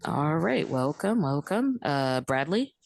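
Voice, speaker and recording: A woman's voice: low-pitched, big pitch swings, gravelly, darkish tone. A woman hosting a dating show call, calling on guests by name and laughing between answers. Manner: brisk, calls names, laughs often.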